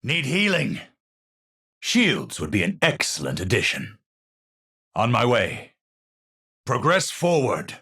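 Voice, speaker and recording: An actor playing a cerebral, haunted, middle-aged scientist in a video game, speaking formally and precisely with theatrical, pensive phrasing. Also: male voice, medium pitch, huge pitch swings, takes it slowly, pauses often, sharp tone, slightly rough, heavily acted. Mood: composed. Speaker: video game hero character. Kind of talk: scripted game voice lines, theatrical.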